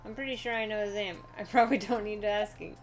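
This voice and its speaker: A woman's voice: medium pitch, fast talker. Woman streaming a game over music. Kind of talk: casual reactions and reading game text aloud. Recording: music behind the voice.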